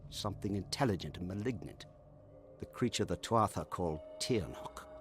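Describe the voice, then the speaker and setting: A low-pitched, learned man explains dire magical matters in a fantasy video game. He is measured and ominous, every phrase weighted like serious exposition. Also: expressive, soft background music. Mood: grave, ominous. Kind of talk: dramatic video game dialogue.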